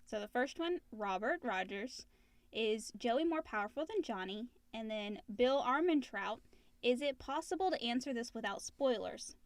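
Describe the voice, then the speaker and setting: A woman's voice: a little high, animated, normal speed, no room sound. A woman co-hosting a casual question-and-answer show, reading listener questions aloud and chatting about them.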